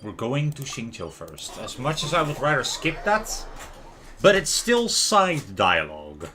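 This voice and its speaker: Bright, animated male game streamer. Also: mid-range voice, unhurried, a little hiss or hum. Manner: muttering reactions, talks to himself. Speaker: game livestreamer. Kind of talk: casual live game commentary. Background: faint music.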